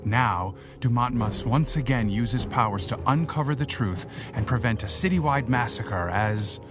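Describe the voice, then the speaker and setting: A man announces a pulp radio drama in scripted narration. His voice sits in a middle register, with music playing clearly beneath it. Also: mid-range voice, moderate pace.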